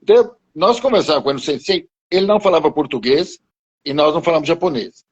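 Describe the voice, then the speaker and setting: A man in his seventies reminiscing slowly in conversation, halting and restarting his phrases. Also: fairly high pitch, lively intonation, slightly rough, lo-fi sound. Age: 70s. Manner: slow, halting, with many restarts. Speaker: guest martial arts teacher.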